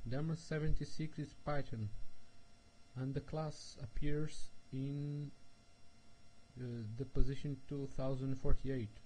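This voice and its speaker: A man, a developer giving a recorded technical presentation in slow, careful speech with frequent hesitations. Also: fairly high pitch, warm tone, slight background noise, low-quality recording. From Portugal. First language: Portuguese. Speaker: software developer presenting a tutorial.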